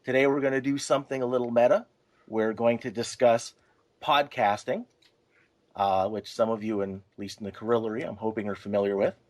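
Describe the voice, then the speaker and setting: A man's voice: mid-range voice, fairly even intonation, brisk, a little mellow. A man hosting a podcast, introducing the show and guests with playfully formal phrasing. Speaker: podcast host. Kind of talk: hosting, semi-scripted intro to a chat show.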